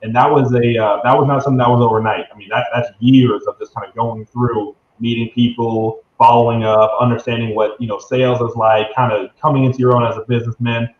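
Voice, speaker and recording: A man's voice: medium pitch, little pitch movement, fast, hardly any pauses. Young man, roomy lo-fi sound. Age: 20s. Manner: confident, salesy, uses "right?" as a tag. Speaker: interview guest, young business executive. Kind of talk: conversational interview answer, explanatory pitch. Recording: dull lo-fi sound, roomy echo, faint noise.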